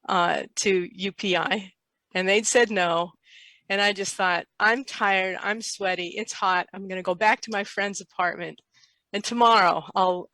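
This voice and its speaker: Bright-voiced older woman telling stories. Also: medium pitch, dry recording, low-quality recording. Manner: lively anecdotal storyteller, quotes herself. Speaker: interview guest. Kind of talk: casual storytelling.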